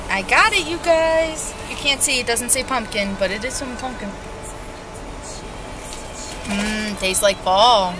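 A woman's voice: on the high side, bright, forceful tone, slight background noise. A woman, chatting upbeat and straight to her viewers in a casual family vlog, filmed on a handheld camera at arm's length. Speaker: family vlogger. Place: car, then a home kitchen and living room.

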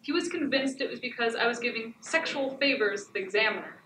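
A haunted battered wife acted by a teenage girl delivers a deliberate stage monologue in a bright, fairly high voice. She sounds resigned and blames herself, heard from far off in an echoing theatre. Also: animated. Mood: anguished, bitter. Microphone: far camera. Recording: echoey noisy theatre, distant microphone.

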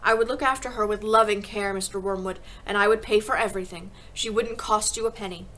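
A woman voicing a kind, calm schoolteacher in a storybook, speaking in a gentle, measured and frank way. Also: medium pitch, lightly acted, low-quality recording. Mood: gentle, tender.

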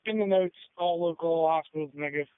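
A man's voice: a little high, brisk, clear, resonant tone, dry recording, phone-quality sound. A man working as an emergency radio operator, speaking tersely over a two-way radio. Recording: band-limited emergency radio transmission.

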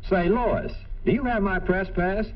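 A man's voice: sits high, measured pace, distant mic, soft background music. A man playing a mild, polite, easygoing young newspaper reporter in a 1940s cartoon. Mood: puzzled. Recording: dull, noisy 1940s film soundtrack.

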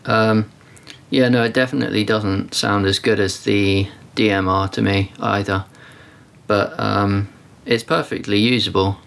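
A man's voice: lowish voice, fairly even intonation, unhurried, gravelly, a bit bright. A man giving a casual hands-on radio demo, narrating as an amateur radio reviewer.

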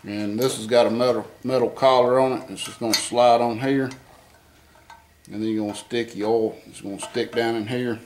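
A man talks through an engine rebuild in a garage workshop, plain-spoken and unhurried, thinking aloud as he works. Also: mid-range voice, steady tone, darkish tone, slight background noise. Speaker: home mechanic demonstrating an engine rebuild. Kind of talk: casual hands-on how-to. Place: barn or garage workshop, indoors.

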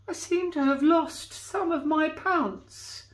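A woman voicing an aging male pet cat who speaks in a soft, plaintive way, recorded in an echoey room. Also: high voice, slow pace, pitched above the performer's own voice. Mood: wistful.

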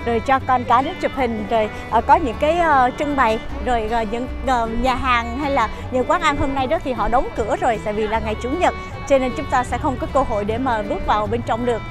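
A chatty middle-aged woman with a fairly high voice guides viewers on a walking tour, pausing often on fillers as she points things out. She is recorded outdoors and in a shop, with music playing clearly behind her. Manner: chatty, many "ờ" fillers, addresses viewers as "quý vị". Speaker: travel show host. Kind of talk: walking tour narration to camera. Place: outdoors at a farm market and inside its shop.

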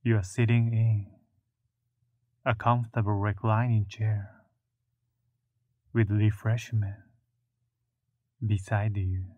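Male meditation guide: very slow, even-toned, soothing delivery in short phrases with long pauses, through a thin phone-like recording. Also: on the low side, no room sound. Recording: muffled, narrow phone-like sound.

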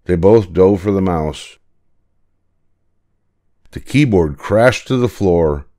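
A man's voice: low-pitched, expressive, slow, warm tone. An older man reading a children's picture book aloud as narrator. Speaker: storybook reader.

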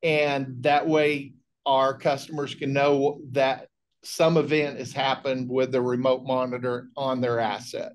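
A middle-aged man giving a technical training presentation on a video call, explaining in a measured way. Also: medium pitch, little pitch movement. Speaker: technical trainer, remote monitoring specialist. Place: indoor room on a video call.

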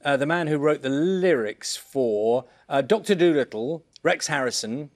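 A man's voice: on the high side, animated, a little slow. A middle-aged British man presenting breakfast news in a chatty, rambling and wry way in a TV studio.

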